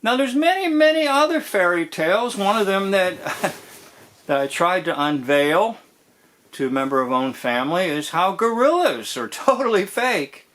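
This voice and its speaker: A man giving informal commentary on a TV episode as host, in a deliberate, drawn-out way that dwells on key phrases. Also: high-pitched, very animated, fairly bright tone.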